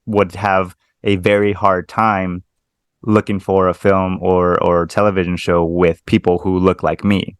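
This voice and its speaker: A man hosting a casual podcast interview, relaxed and agreeable, using filler words as he talks. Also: sits low, a little slow, clean tone, no room sound. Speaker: podcast host.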